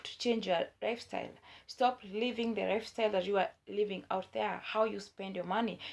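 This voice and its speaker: A young woman vlogger with a hoarse voice from a cold, giving earnest advice in a casual way, with many fillers. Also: medium pitch. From Kenya. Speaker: YouTube vlogger.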